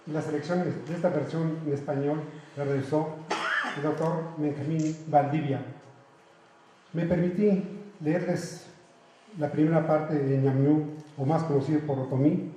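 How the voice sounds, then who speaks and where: Mexican man, native Otomi speaker, teacher; earnest explainer, self-correcting, slightly high voice. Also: steady tone, unhurried, dark tone, heavy reverb, a little hiss or hum, poor audio. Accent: Mexican Spanish. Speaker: university language teacher. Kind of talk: reading aloud then explaining.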